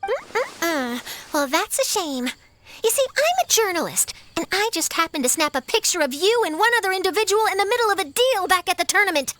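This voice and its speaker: Very high-voiced, shrewd young reporter. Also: female voice, sing-song, brisk, fairly bright tone, exaggerated voice. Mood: coy, threatening. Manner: coy, pointed, theatrically knowing. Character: shrewd, teasing young woman journalist. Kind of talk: animated video game voice acting.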